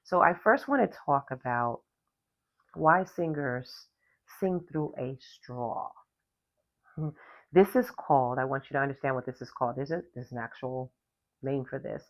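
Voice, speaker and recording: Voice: mid-range voice, measured pace. A singer hosting a podcast, giving a casual lesson on vocal care. Talks emphatically, repeats key words and spells out terms. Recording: slightly dull lo-fi recording.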